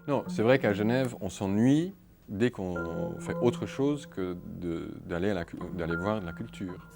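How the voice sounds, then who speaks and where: Halting deep-voiced man, music behind. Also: animated, gravelly. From Netherlands. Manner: halting, many repeated words and fillers. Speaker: artist interviewee. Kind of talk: hesitant interview answers.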